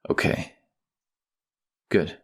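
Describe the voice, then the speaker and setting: A man playing a polite, worried middle-aged father who speaks haltingly and gratefully in an audiobook. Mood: polite, hospitable. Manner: halting, polite, soft-spoken hesitations. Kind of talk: audiobook dialogue acting.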